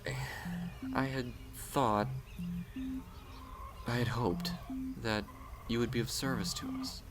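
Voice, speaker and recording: A man playing an earnest scientist in a science fiction audio story, halting and exasperated as he pleads. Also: mid-range voice, steady tone, noisy background, lightly acted. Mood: halting, disappointed. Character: earnest, frustrated scientist pleading with his creation. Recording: music behind the voice.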